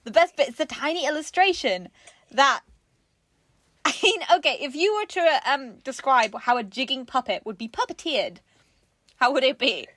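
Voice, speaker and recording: A woman's voice: high-pitched, animated, moderate pace, fairly bright tone, no echo. A woman co-hosting a craft show in casual, jokey chat, giggly and easily amused.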